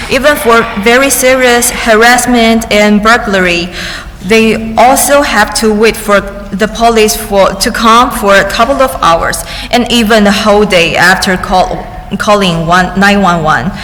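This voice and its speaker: A woman interpreting testimony into English at a public hearing, halting at times, with restarts and cut-off words. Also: medium pitch, fairly bright tone, slight background noise. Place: large public hearing chamber. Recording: echoey hearing room with faint ambience.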